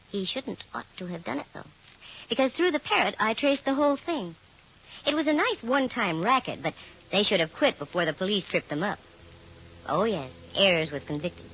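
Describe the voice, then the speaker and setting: An actress playing a sharp-witted, wisecracking woman private detective in a 1940s radio drama, with a wry, slangy delivery. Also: medium pitch. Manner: wry, slangy, brisk storytelling. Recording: dull, noisy vintage radio recording.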